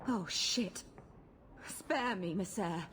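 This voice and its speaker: An actress playing a seductive, manipulative blood mage courtesan in a video game. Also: female voice, mid-range voice, slow, fairly bright tone, slight background noise. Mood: frightened, pleading. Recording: game audio with music behind.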